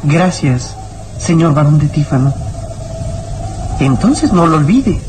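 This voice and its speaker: A man playing a defiant, loyal boy who speaks deliberately and solemnly in an old radio drama. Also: on the high side, animated, music in the background. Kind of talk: old Latin American radio drama acting.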